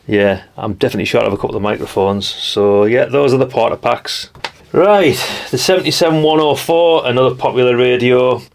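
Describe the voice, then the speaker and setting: A man's voice: on the low side, vocal fry. A middle-aged man with a North East English accent giving a casual, chatty show-and-tell, rambling along in a nostalgic, down-to-earth way. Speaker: hobbyist video presenter.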